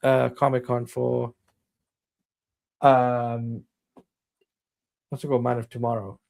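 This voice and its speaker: A man's voice: mid-range voice, little pitch movement, slow pace, vocal fry, darkish tone. A man hosting a casual live stream, reading out news items in a rambling way while losing his voice. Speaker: stream host.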